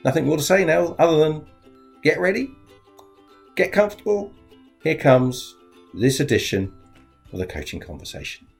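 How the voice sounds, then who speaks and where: A man's voice: moderate pace, gravelly, long pauses. A man hosting a business coaching podcast, opening the show in an upbeat presenting manner. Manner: lively, upbeat presenting with wide pitch swings. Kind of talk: scripted podcast intro. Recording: faint guitar music behind. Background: faint plucked guitar music.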